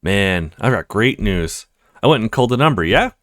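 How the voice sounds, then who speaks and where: A man's voice: on the low side, very animated, normal speed, dry recording, clean studio sound, pitched below the performer's own voice. A man voicing an eager, chatty young student in a read-aloud of a video game. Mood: excited. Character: eager upbeat young student with a new job.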